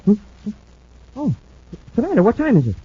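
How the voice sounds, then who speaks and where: An actor playing an eager young space cadet sidekick, chatty and joking, in a 1950s radio drama. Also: male voice, very high voice, wildly swooping pitch, moderate pace, breathy edge, heavily acted. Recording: muffled old radio recording with faint hiss.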